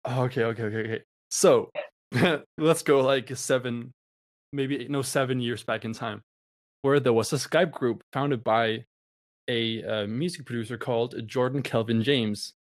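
A man's voice: medium pitch, fairly even intonation, normal speed, dry recording, clean studio sound. Upbeat young man from Denmark. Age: young adult. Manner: upbeat, laughs easily. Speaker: guest musician. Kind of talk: casual interview chat.

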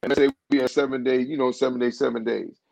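A man's voice: medium pitch, steady tone, quick pace, vocal fry, a little mellow, no room sound. A man being interviewed as a singer, telling a long casual story with lots of "you know what I'm saying" and restarts. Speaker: guest singer. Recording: dull, dry muffled recording.

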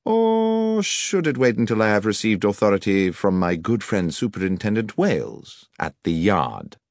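A man voicing a shrewd, commanding gentleman detective who speaks formally and precisely, with dry irony. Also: fairly low pitch, big pitch swings, normal speed, no echo, voice pushed up. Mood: dryly ironic. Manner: precise, formal, dryly ironic questioning.

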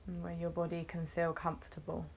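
A woman guiding a meditation, gently instructing in short phrases with long, unhurried pauses. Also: lowish voice, monotone, gravelly, a little hiss or hum, low-quality recording. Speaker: meditation guide. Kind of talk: guided meditation, soothing instruction.

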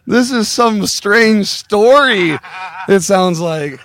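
A male comedian guest joking on a podcast, often with deadpan, mock-formal denials. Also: high voice, slow pace. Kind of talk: casual banter on a podcast.

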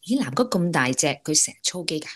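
A woman's voice: low-pitched, big pitch swings, fast talker. A woman with a Hong Kong Cantonese accent playing a curious, amused young office worker in a radio drama.

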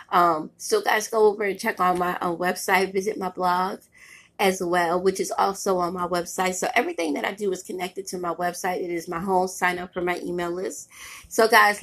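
Husky, congested woman podcaster. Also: fairly low pitch, fairly bright tone. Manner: emphatic, preachy, repeats phrases for effect. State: congested and nasal from a cold. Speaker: podcast host and author. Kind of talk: motivational podcast monologue.

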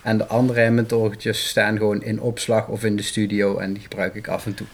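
A man's voice: on the low side, little pitch movement, fairly quick. A man, a musician, chatting casually as an interview guest.

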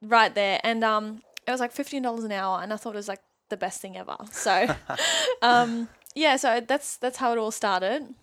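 A woman's voice: fairly high pitch, lively intonation, normal speed, a bit bright. Bubbly German-accented young woman. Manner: bubbly, laughs often, rambling. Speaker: guest, mindset coach. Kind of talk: casual podcast interview.

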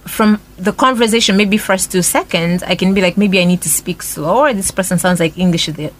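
A woman's voice: on the low side, a little fast, slight background noise, rough recording. A woman on a casual podcast chat, explaining her views thoughtfully through examples with a few "um"s. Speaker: podcast co-host.

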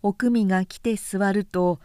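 A woman reading literary fiction aloud as an audiobook narrator, with measured and carefully enunciated delivery. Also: medium pitch, fairly even intonation, mellow, clear, resonant tone, no room sound, studio quality.

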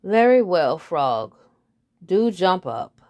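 A woman voicing a snobbish, haughty head bird with a pompous, drawn-out way of speaking. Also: lowish voice, sing-song, a little mellow, muffled recording, exaggerated voice.

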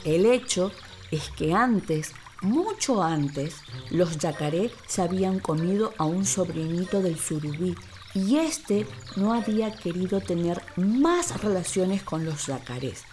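Woman, children's radio-play narrator, fairly low pitch, expressive, deliberate, faint music and water effects. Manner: expressive, deliberate phrasing with emphatic repetition. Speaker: actress, storyteller. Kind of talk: dramatic children's story narration. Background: music and water sound effects.

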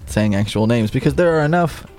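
A man's voice: medium pitch, lively intonation, brisk, a little mellow, soft background music. A man chatting casually with a friend who is playing a game, making dry, wry remarks. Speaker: podcast co-host. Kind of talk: casual chat.

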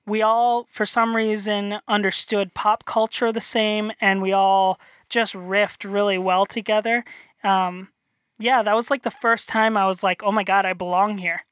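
A young man from North Dakota with a high voice, chatting as an interview guest, rambling and self-deprecating with many restarts. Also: little pitch movement, a little slow, a bit bright, clear, resonant tone, no room sound, clean studio sound. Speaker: illustrator guest. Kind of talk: casual interview chat.

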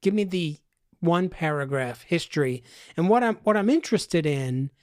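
A man's voice: a little high, lively intonation, moderate pace, darkish tone, dry recording, clean studio sound. A man hosting a podcast, asking his guests curious, prompting questions. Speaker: podcast host. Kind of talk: interviewing.

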